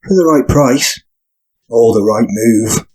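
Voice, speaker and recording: A man acting in an audio drama as a hostile, sneering small-time crook, husky, curt and sweary. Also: mid-range voice, takes it slowly, a little mellow, poor audio. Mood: mocking.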